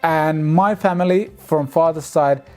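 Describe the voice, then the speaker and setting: A man, hosting a casual music vlog and answering viewer comments in a relaxed, chatty way. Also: a little high, measured pace. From Finland. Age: 30s. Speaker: music channel host.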